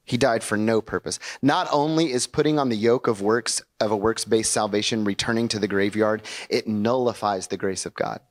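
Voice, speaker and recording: A young male pastor preaching a sermon to his congregation in a church, in a conversational and animated way, often putting questions to the room. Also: fairly low pitch, moderate pace, hardly any pauses. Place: church sanctuary before a congregation.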